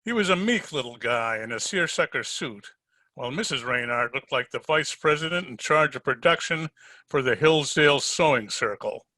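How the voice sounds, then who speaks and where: An actor playing a wry, hard-boiled heavyset private detective, narrating in 1940s radio style. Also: male voice, mid-range voice, animated, normal speed, bright, forceful tone, no echo. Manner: wry, wisecracking.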